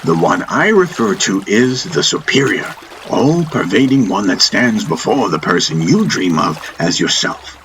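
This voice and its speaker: A man's voice: on the low side, big pitch swings, measured pace, hardly any pauses, fairly bright tone, slight background noise, low-quality recording. A man voicing an eccentric, teasing spiritual master in a fantasy audiobook, preaching emphatically in rhetorical questions and lessons. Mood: emphatic teaching. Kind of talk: audiobook character voice. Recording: music under the voice.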